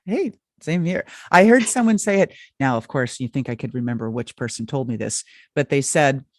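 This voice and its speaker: A woman's voice: a bass voice, lively intonation, moderate pace, darkish tone, no room sound, studio quality. A woman hosting a podcast interview, affirming and enthusiastic with her guest. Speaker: podcast host.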